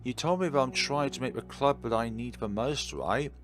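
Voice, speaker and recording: A man voicing an earnest teenage girl club president in a visual novel, anxious and quick to blame herself. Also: mid-range voice, voice pushed up, lightly acted. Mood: guilty. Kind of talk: visual novel character read-aloud.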